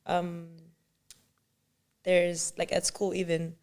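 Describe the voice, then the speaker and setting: A woman's voice: lowish voice, monotone, takes it slowly, a little mellow. A woman from Spain whose first language is Spanish, a singer telling her story candidly in a casual interview.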